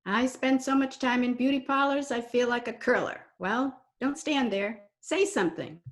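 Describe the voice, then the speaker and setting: A tough, brassy Broadway gal as a woman acts her in a radio play. Her voice is fairly high, and she throws out sardonic slang like a born wisecracker. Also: normal speed, lightly acted. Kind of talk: 1940s radio drama acting.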